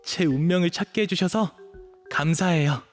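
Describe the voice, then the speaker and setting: A man's voice: sits high, moderate pace, soft background music, natural voice. A man acting as an eager, cutesy, pleading twenty-year-old dog shapeshifter in an audio drama, with a boyish manner. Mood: pleading, hopeful. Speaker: voice actor.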